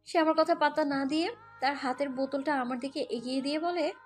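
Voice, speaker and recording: A woman acts out a hurt young mother narrating a romantic audio drama in the first person. Her voice is very high, with a dramatic, scolding edge and a defiant temper. Music runs underneath. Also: fairly even intonation, fairly quick, lightly acted. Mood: uneasy narration. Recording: music bed behind the voice.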